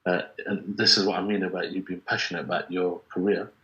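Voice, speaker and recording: A low-voiced man whose first language is Bengali hosts a relaxed interview. He rambles and fills gaps with "you know" and "uh". The recording of his voice is dull and lo-fi. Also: fast talker. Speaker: podcast host, interviewer. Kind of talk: casual interview chat. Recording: dull lo-fi sound.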